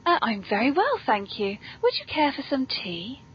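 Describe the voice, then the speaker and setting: A woman with a British accent and a fairly high voice hosts with playful, theatrical politeness. She sounds genteel, like someone presenting a whimsical tea party. The lo-fi recording is dull and slightly noisy. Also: huge pitch swings, moderate pace, a bit bright. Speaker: podcast host. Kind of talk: whimsical scripted hosting and genteel chat. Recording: dull lo-fi recording, background noise.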